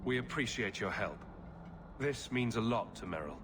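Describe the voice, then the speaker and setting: A man's voice: on the low side, lively intonation, moderate pace, background noise, lightly acted. An actor playing a confident, direct and wry male adventurer hero in a fantasy video game. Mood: polite, curious.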